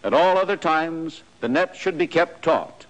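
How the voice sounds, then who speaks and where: Male, American, slightly high voice; formal, matter-of-fact instructional narration in 1940s training-film style. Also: animated, a little slow, fairly bright tone, rough recording.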